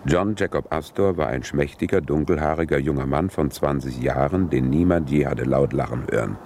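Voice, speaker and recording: An actor playing a solemn narrator in a radio play, reporting details in a measured, formal, matter-of-fact way. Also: male voice, very deep voice, a little mellow. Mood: solemn, factual. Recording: 1970s radio recording, background noise.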